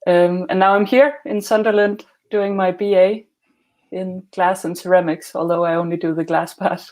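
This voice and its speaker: A woman from Denmark with a Danish accent, answering interview questions in a measured, understated, conversational way. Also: on the low side, level tone, darkish tone. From northern Denmark. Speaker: guest, glassblower and student.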